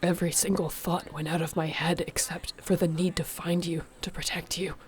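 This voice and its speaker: A woman playing a protective, tender orc warrior girlfriend in an intimate audio roleplay, speaking in a soft, earnest way. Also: fairly low pitch, little pitch movement, moderate pace, natural voice. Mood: anxious, loving. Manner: soft, earnest, soothing, partly whispered.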